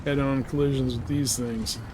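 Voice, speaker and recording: Male streamer, mid-pitched, relaxed slangy live game commentary, faint game noise behind him. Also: normal speed. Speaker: livestreamer. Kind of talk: casual live gameplay commentary.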